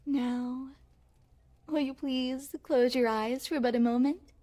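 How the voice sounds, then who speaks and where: A woman's voice: sits high, fairly even intonation, takes it slowly, warm tone. A woman playing a possessive yandere princess in an ASMR roleplay, speaking in coy, regal, formal phrases with sweet menace. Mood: coy, teasing. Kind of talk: ASMR roleplay, scripted monologue to the listener.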